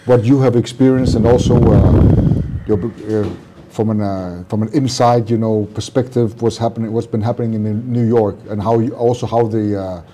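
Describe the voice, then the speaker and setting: A man hosting a podcast interview, speaking in a halting way as he searches for words and often restarts his questions. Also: lowish voice, fairly even intonation, noisy background. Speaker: podcast host. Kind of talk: conversational interview.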